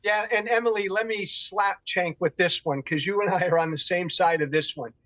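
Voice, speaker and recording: A man's voice: high voice, normal speed, bright, forceful tone, no room sound. A man speaking as a guest in a televised debate, emphatic and pushing to hold the floor. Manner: emphatic, talks over others.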